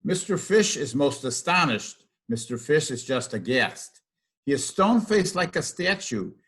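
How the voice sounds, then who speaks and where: An older man reading a rhyming picture book aloud to children in an expressive, sing-song rhythm. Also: medium pitch, measured pace, slightly rough.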